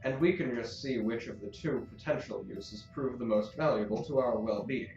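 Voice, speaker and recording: A man playing a formal, calculating council master who speaks in measured, diplomatic phrases in a science-fiction audio drama. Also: medium pitch, a little mellow, close to an everyday voice. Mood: calm, persuasive. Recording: distant, echoey, slightly dull, music behind.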